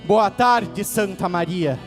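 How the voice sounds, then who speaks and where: A man's voice: high-pitched, slow, big-room sound, music in the background. An eighteen-year-old man with a gaúcho Brazilian accent gives a formal, declamatory oral presentation as a pageant contestant. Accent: Gaúcho (Rio Grande do Sul) Brazilian Portuguese. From Rio Grande do Sul, Brazil.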